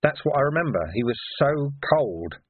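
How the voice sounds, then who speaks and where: A man voicing a gruff, weary, hot-tempered veteran policeman in an audiobook, blunt and terse. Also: fairly high pitch, expressive, moderate pace, no echo, narrow, tinny audio, pitched above the performer's own voice. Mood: grieving.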